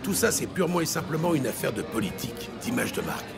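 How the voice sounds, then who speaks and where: An actor playing a hard, cynical cop who swears and talks in street slang in a French audio drama. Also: male voice, mid-range voice, sing-song, quick pace, creaky. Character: hard, defiant cop, cynical and menacing when crossed. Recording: loud music behind the voice.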